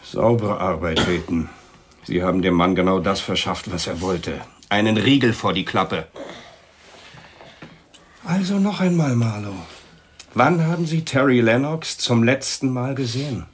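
An actor playing a hard, bullying police inspector in a radio crime drama, husky and sarcastic. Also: male voice, fairly low pitch, lively intonation, measured pace, a little hiss or hum. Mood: sarcastic, stern. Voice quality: husky, rough.

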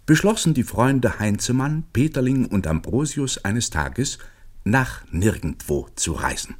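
A male narrator with a very low, husky voice. He delivers scripted literary radio narration.

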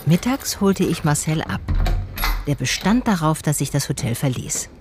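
A woman's voice: sits low, big pitch swings, normal speed, noisy background. An actress playing a female private detective in a radio play, narrating and talking in a dry, slightly weary, curious way. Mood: reflective, narrating. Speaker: narrator and lead character.

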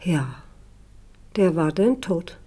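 Eine Schauspielerin in einem deutschen Hörspiel spielt eine liebevolle, beschämte Tante, die stockend und vertraulich von dunklen Zeiten erzählt. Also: female voice, fairly low pitch, sing-song, slow pace, mellow, slightly rough, full character voice. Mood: troubled remembering. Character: ashamed, affectionate aunt recalling dark times. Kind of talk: German radio play dialogue.

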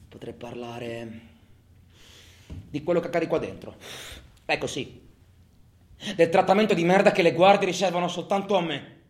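An actor playing a bitter, cynical prisoner in a colloquial radio theatre monologue, muttering to himself and breaking into loud outbursts. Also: male voice, medium pitch, a little hiss or hum, natural voice. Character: cynical, bitter male convict musing in his cell. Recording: roomy, echoing sound.

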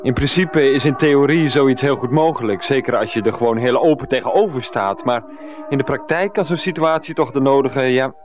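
An actor playing an earnest, pressured middle-aged ex-teacher with a Netherlands Dutch accent in a radio comedy drama, wordy and hesitant. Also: male voice, medium pitch, fairly quick, music in the background, close to an everyday voice. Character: Freek, pressured 39-year-old ex-teacher, earnest, henpecked by mother.

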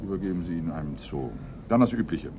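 A man's voice: low voice, lively intonation, fast talker, noisy background. A man acting a seasoned, middle-aged police inspector in a German radio crime drama, curt and authoritative. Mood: brusque. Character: seasoned, brusque police inspector giving orders.

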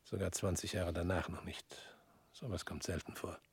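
A husky, brooding ex-official. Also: male voice, sits low, little pitch movement, no echo, heavily acted. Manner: measured, brooding, often sinking to a whisper. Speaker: radio drama actor. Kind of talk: radio play acting.

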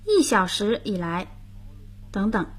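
A woman's voice: mid-range voice, sing-song, slow, slightly rough. A woman hosting a radio language lesson, patiently explaining grammar and prompting her partner.

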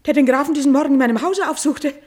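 A woman's voice: high-pitched, very fast, natural voice. An actress playing a distraught, shrewd noble mother in a classical radio drama, intense and pointedly emphatic in her accusations. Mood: accusing, bitter. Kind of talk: classical German stage-play radio acting.

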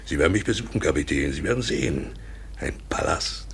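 A washed up deluded trader in a radio play, super raspy n creaky, low, rambling and insistent. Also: male voice, lively intonation, fairly quick, a bit bright, exaggerated voice. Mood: dreamy, bitter. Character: deluded, impoverished colonial trader dreaming of riches. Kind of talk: German radio play acting.

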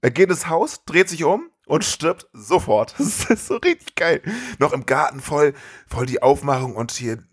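A man's voice: fairly high pitch, sing-song, normal speed, slightly rough, dry recording, studio quality. A man co-hosting a casual film podcast, rambling loosely and enthusiastically in slangy language. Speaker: podcast co-host.

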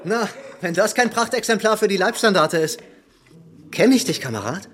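An actor playing a bullying SS soldier in a radio play, talking in a mocking, menacing, clipped way. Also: male voice, sits high, lively intonation, normal speed, fairly bright tone. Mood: mocking menace. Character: sneering, bullying SS soldier needling a teenage farmhand.

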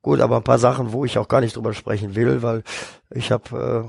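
Gravelly, husky man, rambling chat. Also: medium pitch, little pitch movement, a little fast, darkish tone, no echo, clean studio sound. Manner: rambling, with many fillers and restarts. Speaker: stuttering therapist, guest. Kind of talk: casual podcast chat.

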